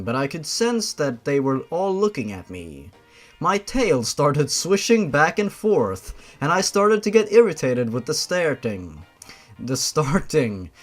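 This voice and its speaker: A man with a slightly high voice narrates a horror story in a theatrical manner. His delivery is slow and lively in pitch, with music underneath. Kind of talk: scripted narration of fan horror fiction. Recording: music behind the voice.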